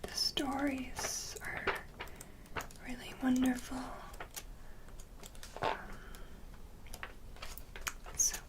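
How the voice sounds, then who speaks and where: A woman host giving an ASMR show-and-tell in a soft, breathy whisper, with a gentle, halting delivery. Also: sits high, little pitch movement.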